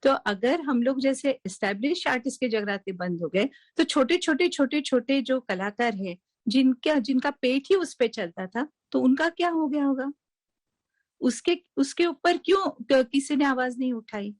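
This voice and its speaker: A woman's voice: high-pitched, lively intonation, normal speed, no echo. A woman artist giving a spontaneous, emphatic statement, repeating words as she goes. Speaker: performing artist giving opinion.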